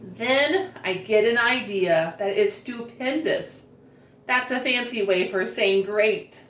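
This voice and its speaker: A woman reading a picture book aloud expressively, enunciating with care for young children. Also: a little high. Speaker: children's story reader. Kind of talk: expressive storybook read-aloud. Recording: roomy echo, distant microphone.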